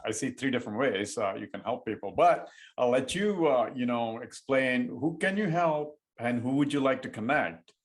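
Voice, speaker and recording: A man's voice: medium pitch, lively intonation, moderate pace. A man hosting an interview, asking loose questions full of fillers. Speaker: podcast host.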